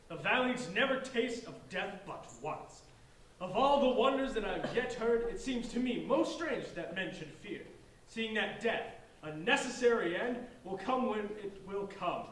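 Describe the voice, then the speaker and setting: A man's voice: high-pitched, animated, a little slow, a bit bright. A man playing a bookish, pompous young man who declaims grandly in a staged play reading. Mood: grand, declamatory. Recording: distant echoey room.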